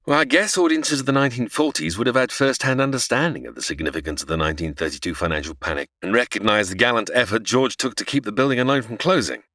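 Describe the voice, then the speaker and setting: An actor playing a patient, explanatory narrator in a comedy audio drama, telling a classic story. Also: male voice, mid-range voice, fast talker, hardly any pauses, a bit bright, dry recording, studio quality, lightly acted. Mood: explaining.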